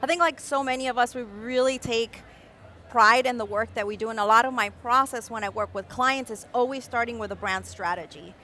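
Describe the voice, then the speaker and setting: A young adult woman, born in Mexico City and raised in Caracas, explaining her design process with expressive enthusiasm in a live-stream interview. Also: a little high, fairly quick, a little hiss or hum. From Mexico City, raised in Caracas, Venezuela. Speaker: guest designer.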